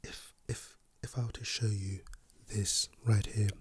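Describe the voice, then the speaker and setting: A man with a lost, hoarse voice, speaking softly and whispering in a playful, teasing way as an ASMR creator. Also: on the low side, flat delivery, a little slow, no echo. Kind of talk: soft-spoken and whispered ASMR.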